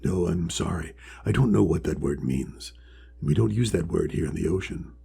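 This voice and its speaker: A man voicing a huge, ancient, wise male sea creature from a storybook, friendly and measured, with a deep voice. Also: expressive, creaky, warm tone, a little hiss or hum, full character voice. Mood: gentle, thoughtful. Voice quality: deep, resonant. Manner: slow, measured, kindly pronouncements. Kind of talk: storybook character voice.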